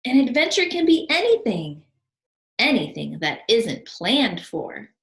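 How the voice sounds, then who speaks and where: A carefree, boastful male storybook adventurer, played by a woman reading aloud. The delivery is confident and breezy, with very animated intonation. The room adds noticeable echo. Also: fairly high pitch, a little slow, voice pushed up. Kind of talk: children's storybook read-aloud. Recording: roomy, echoing sound.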